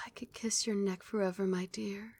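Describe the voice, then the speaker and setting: ASMR casting: a young woman as a tender, possessive vampire queen, soft soothing whisper, close mic. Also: mid-range voice, steady tone, a little slow, breathy edge. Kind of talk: ASMR roleplay.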